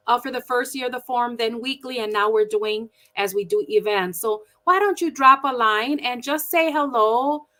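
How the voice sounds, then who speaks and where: Bright high-voiced woman moderating a forum. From Milwaukee, United States. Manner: warm, chatty, reads out viewer names.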